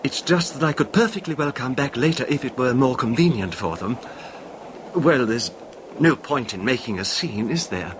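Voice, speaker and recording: An actor playing a nervous, apologetic little man in a 1970s radio horror drama, soft-spoken, tentative and overly polite. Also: medium pitch, lively intonation, moderate pace, lightly acted. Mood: timid, apologetic. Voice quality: soft-spoken, sometimes barely audible. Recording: faintly noisy old radio recording.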